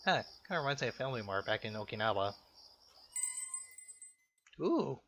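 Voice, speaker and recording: A man's voice: mid-range voice, sing-song, normal speed, a bit bright, slight background noise. A man doing casual, jokey let's play commentary, reacting aloud to a game and reading its text. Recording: muffled lo-fi mic, music behind.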